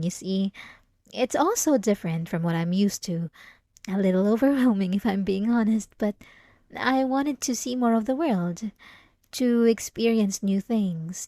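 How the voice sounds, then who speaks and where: A woman playing a sweet, devout, obsessive young church girl in an ASMR roleplay, speaking haltingly with apologetic, feigned innocence. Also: mid-range voice, dark tone, dry recording, studio quality, lightly acted. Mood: delighted. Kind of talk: ASMR roleplay drama, addressed to the listener.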